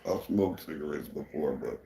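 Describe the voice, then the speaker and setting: Laid-back male livestream host, very low gravelly voice, echoey room, rambling delivery. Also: animated, normal speed, mellow. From Michigan, USA. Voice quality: deep gravelly voice, people say it suits radio. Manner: laid-back and rambling, many "you know" fillers. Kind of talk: casual livestream chat answering viewers.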